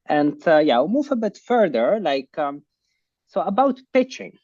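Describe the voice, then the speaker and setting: A man's voice: a little high, sing-song, darkish tone, no echo. Man hosting an online class, filler-heavy. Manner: halting, many fillers like "like, uh". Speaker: online course host. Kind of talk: casual online class talk. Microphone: video call. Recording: muffled video call audio.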